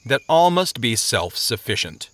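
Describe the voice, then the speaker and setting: A man's voice: mid-range voice, a little slow, pushed and bright, no room sound. Man doing a sleepy, pompous, peevish idler. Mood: dismissive, annoyed. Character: sleepy, pompous, self-satisfied man, easily annoyed. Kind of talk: audiobook character voice.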